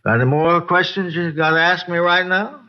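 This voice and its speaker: A man's voice: high-pitched, a little fast, natural voice. An actor playing a folksy, boozy older American man who rambles and repeats himself in an old radio drama. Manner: rambling, repetitive, folksy, excitable. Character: rambling, boozy, folksy male neighbor witness. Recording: dull, lo-fi old radio recording.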